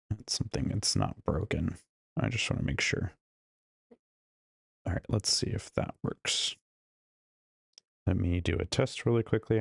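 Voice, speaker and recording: A man's voice: low voice, creaky, husky, no echo. A man hosting a casual live stream from a hotel room while losing his voice, chatting and greeting viewers one by one through a studio microphone. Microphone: studio microphone plugged into a laptop.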